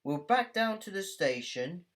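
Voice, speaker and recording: A woman voicing a hearty, practical male train driver in a children's storybook, brisk and plain-spoken. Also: on the low side, big pitch swings, pitched above the performer's own voice. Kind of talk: children's storybook read-aloud.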